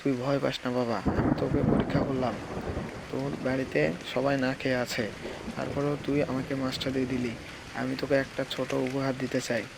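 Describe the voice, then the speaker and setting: A man's voice: medium pitch, little pitch movement, normal speed, creaky, very noisy, close to an everyday voice. A man voicing a benevolent magical sage in a children's cartoon story, speaking in a reassuring, fatherly way. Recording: music behind the voice.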